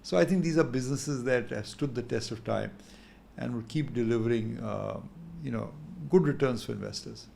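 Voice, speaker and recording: A man in his sixties with an Indian English accent, talking thoughtfully as a guest in an unhurried interview. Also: medium pitch, creaky, darkish tone, slight background noise. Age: 60s. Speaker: guest investor. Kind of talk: candid interview talk.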